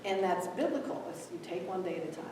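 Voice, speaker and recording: A woman giving a live conference talk, animated and acting out quoted dialogue, who grew up in Ethiopia. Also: fairly low pitch, quick pace, crowd noise. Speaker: conference speaker. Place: large echoey hall. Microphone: distant room mic. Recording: echoey hall from far off.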